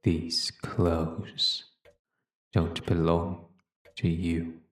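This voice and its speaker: An actor playing a brooding alien time traveller in a fan audio drama, speaking in a halting, portentous way with a gravelly, husky voice. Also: male voice, low-pitched, little pitch movement, no echo, exaggerated voice. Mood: accusing, troubled. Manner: slow, halting, deliberate, portentous.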